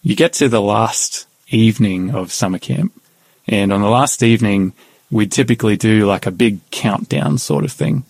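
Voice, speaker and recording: A man, a podcast guest, calmly telling a personal faith story in conversation, often saying "you know". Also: on the low side, normal speed, gravelly, slightly rough, dry recording. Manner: calm, thoughtful, with frequent "you know".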